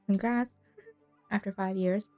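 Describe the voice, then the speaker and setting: A woman's voice: medium pitch, steady tone, slow, dark tone, no echo. A woman streaming a video game, chatting casually in a rambling way. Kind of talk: casual gameplay commentary, reading dialogue aloud. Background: game music.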